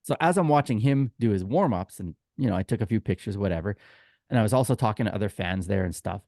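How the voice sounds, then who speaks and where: A man's voice: on the low side, expressive, quick pace, mellow, no echo, clean studio sound. A man co-hosting a casual sports podcast, telling anecdotes in a chatty, informal way and acting out exchanges. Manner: chatty, informal storyteller who acts out quoted exchanges. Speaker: podcast co-host and tour guide.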